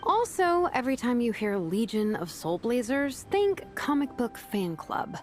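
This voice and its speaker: A woman voicing a laid-back, wry young female vice captain who talks in a relaxed, teasing way. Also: fairly high pitch, measured pace. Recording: game cutscene with background music.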